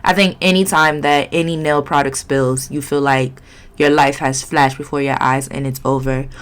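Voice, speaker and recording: A woman's voice: a bass voice, steady tone, moderate pace. A woman with a stuffy, nasal voice from a cold, chatting candidly and rambling through a nail tutorial vlog. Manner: rambling, candid, lots of fillers. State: congested, sniffly, nasal. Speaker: nail vlogger.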